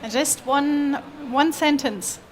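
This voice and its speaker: A woman's voice: sits high, takes it slowly, slight background noise. A woman moderating a live panel, giving short, polite instructions off the cuff. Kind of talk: impromptu event moderation. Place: stage in conference pavilion.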